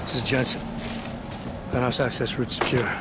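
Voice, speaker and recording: A man playing a terse, dry-witted special agent with a deadpan manner in a video game. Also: mid-range voice, normal speed, lightly acted. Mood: cool, deadpan. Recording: loud music and vehicle noise behind.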